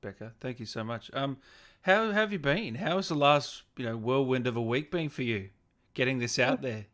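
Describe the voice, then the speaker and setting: Male livestream interviewer, average pitch, casual and effusive, talking to guest and audience. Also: animated, moderate pace, a bit bright. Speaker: host of a gaming interview livestream. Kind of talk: casual chat with guest and viewers.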